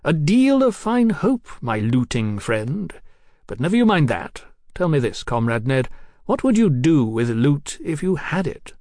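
A man's voice: a little high, sing-song, normal speed, darkish tone, no room sound, clean studio sound, pitched above the performer's own voice. A man voicing a zealous young revolutionary for an audiobook, haughty and grandiose, declaiming like an orator. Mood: mocking. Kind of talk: audiobook character acting.

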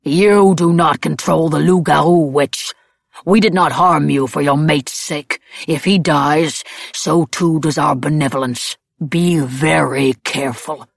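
A woman's voice: low-pitched, fairly even intonation, creaky, no room sound, studio quality, exaggerated voice. A woman voicing a proud male werewolf pack leader in an audiobook, formal and threatening in manner. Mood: menacing, defiant. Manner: formal, slow, warning speech.

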